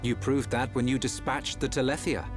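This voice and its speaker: Man acting noble game prince, mid-pitched, composed, formal, reassuring, music behind. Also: fairly even intonation, moderate pace, lightly acted. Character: calm, noble, supportive prince and elder brother. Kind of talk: scripted game cutscene acting.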